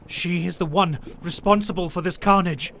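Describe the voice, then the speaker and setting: A man playing a frightened, anxious sailor in an audio drama, halting and urgent. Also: high voice, fairly even intonation, creaky, fairly bright tone, slight background noise. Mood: shaken. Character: frightened male sailor, pleading, anxious survivor.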